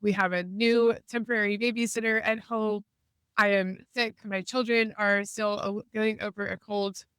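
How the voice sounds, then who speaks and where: A woman's voice: mid-range voice, steady tone, normal speed, fairly bright tone, clean tone, no echo. Congested woman hosting a podcast. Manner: earnest, encouraging, explaining. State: congested from a cold. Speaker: podcast host. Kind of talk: casual podcast monologue. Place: in a car while driving.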